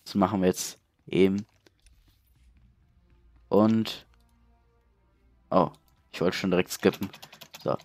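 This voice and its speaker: A man's voice: low voice, fairly even intonation, slow pace, pauses often, a little mellow, faint music behind. A man with a cold giving casual, chatty let's play commentary through a headset, reading out game text along the way. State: stuffy cold.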